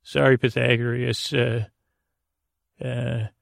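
A man hosting a bedtime podcast in a rambling monologue, gravelly and hesitant, meandering off into tangents. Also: mid-range voice, little pitch movement, very slow, no room sound, clean studio sound. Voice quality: gravelly, creaky. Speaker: podcast host.

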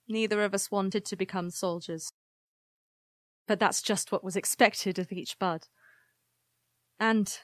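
A woman playing a grave, weary historian of a plant people, giving formal, measured testimony in an audio drama. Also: mid-range voice, no echo, lightly acted. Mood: wistful, regretful.

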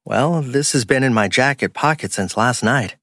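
A man's voice: medium pitch, moderate pace, a bit bright, no room sound, studio quality, pitched above the performer's own voice. A man voicing a polite, guarded working man, matter-of-fact, in an audiobook story. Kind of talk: acted audiobook dialogue.

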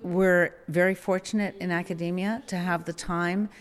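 A woman from the United States, a law professor, introducing herself and answering calmly. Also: lowish voice, normal speed. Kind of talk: interview answer.

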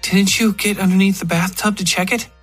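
A man voicing a caring, professional woman in an audio horror story, speaking with measured, placating concern. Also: sits high, fairly even intonation, fairly bright tone, husky, soft background music, voice pushed up. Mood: alarmed, questioning.

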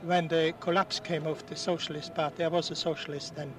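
A man in his late seventies, born in Austria, speaking in an earnest, halting way with restarts, interviewed outdoors at a public ceremony. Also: on the high side, little pitch movement. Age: 70s. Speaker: war veteran interviewee. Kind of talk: outdoor interview, recounting memories. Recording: distant mic, noisy outdoors.

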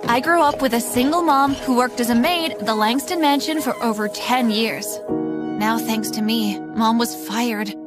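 A woman's voice: fairly high pitch, a little slow, music in the background. A young woman giving a dramatic, scripted first-person narration as a story's narrator.

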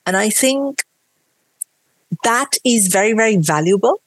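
A woman's voice: medium pitch, expressive, unhurried, no echo. A woman from India with a mixed Indian and British accent, speaking earnestly and reflectively as a guest in a podcast conversation. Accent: Indian, from Mumbai, Bangalore and Kerala, mixed with British.

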